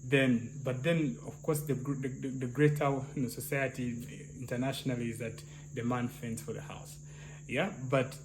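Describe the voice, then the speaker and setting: A man with a soft, slightly high voice, thinking aloud in casual discussion with many restarts and "yeah?" tags. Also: fairly even intonation, normal speed. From Uganda. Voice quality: soft, somewhat high-pitched voice. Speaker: clinical psychologist, co-host. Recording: noisy, crickets and hum.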